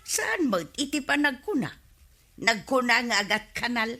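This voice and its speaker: A woman's voice: fairly high pitch, big pitch swings, moderate pace, sharp tone, soft background music, exaggerated voice. A woman with an Ilocano accent playing an indignant, insistently complaining village wife in a radio comedy drama. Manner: scolding, insistent.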